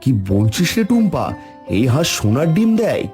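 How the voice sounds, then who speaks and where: Woman voicing a low, astonished village mother. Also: big pitch swings, normal speed, over music. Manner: astonished, exclaiming. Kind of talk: cartoon voice acting.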